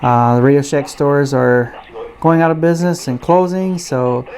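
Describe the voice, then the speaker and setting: A man giving a casual, unscripted product review and demonstration in a halting, hesitant way. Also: a little high, a little mellow. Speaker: gadget reviewer.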